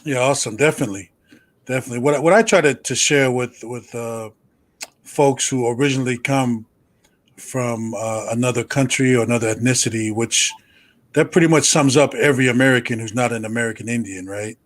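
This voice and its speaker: A man's voice: mid-range voice, brisk. A man hosting an interview, affable and reflective, who often checks in with "right?" as he makes his points. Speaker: interview host. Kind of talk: conversational interview.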